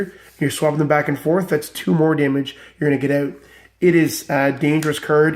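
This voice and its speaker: Enthusiastic male deck reviewer, slightly high voice, still recovering from illness, chatty and rambling. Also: a little mellow. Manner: enthusiastic, rambling with many fillers. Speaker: card game deck reviewer. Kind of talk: casual deck walkthrough.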